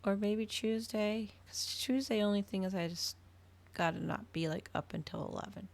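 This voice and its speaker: A woman's voice: medium pitch, moderate pace. A woman livestreamer chatting casually to her viewers, rambling, trailing off and thinking aloud. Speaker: livestreamer hosting an ASMR and gaming channel.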